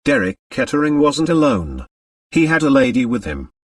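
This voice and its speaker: A gruff American businessman played by a male narrator in a mystery audiobook, blunt and bitter. He speaks with angry emphasis, slightly distant from the microphone. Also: mid-range voice, very animated, normal speed, dry recording, studio quality. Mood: savage. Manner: gruff, emphatic, plain-spoken.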